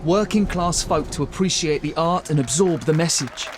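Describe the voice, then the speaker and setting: A man's voice: fairly high pitch, moderate pace, noisy background, natural voice. A man acting in an audio drama as a young 1940s newsboy, announcing headlines in a declamatory manner. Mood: proclaiming. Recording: street ambience, vehicles and crowd behind.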